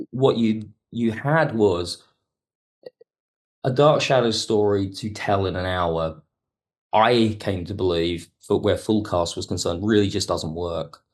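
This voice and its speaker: A man speaking as an interview guest, reflective and analytical, carefully qualifying his points as he explains. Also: sits low. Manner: thoughtful, analytical, hedging. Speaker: podcast guest, audio drama writer.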